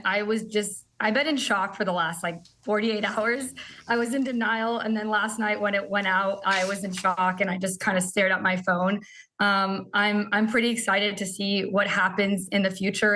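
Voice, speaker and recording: Mid-pitched woman, conversational expert guest. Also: sharp tone. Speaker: cybersecurity expert and content creator. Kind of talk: conversational TV news interview.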